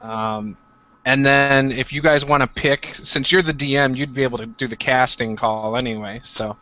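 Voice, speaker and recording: A man's voice: medium pitch, fairly even intonation, moderate pace, bright, forceful tone, lo-fi sound. A man playing in a tabletop game over a video call, chatting in a laid-back, joking way. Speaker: tabletop game player and channel host. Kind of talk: casual chat on a game show.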